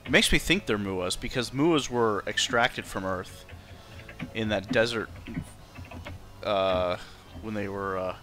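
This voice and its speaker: A man's voice: on the low side, slow pace, pauses often, fairly bright tone. A man giving casual, informal playthrough commentary as a game streamer, with some swearing. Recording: game music behind the voice.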